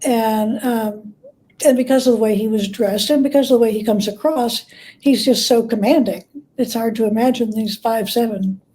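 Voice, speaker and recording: A woman's voice: a little high, normal speed, dark tone. A woman speaking as an author and guest in an interview, recounting anecdotes in a conversational way.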